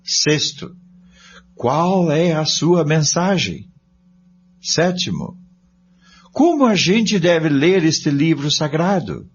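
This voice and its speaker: An actor playing an earnest, polite study-group member in a scripted radio drama, speaking in a deliberate, declamatory manner. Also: male voice, a little high, expressive. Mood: solemn, questioning. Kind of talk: scripted amateur radio drama, reading aloud. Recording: dull lo-fi recording, noisy hum.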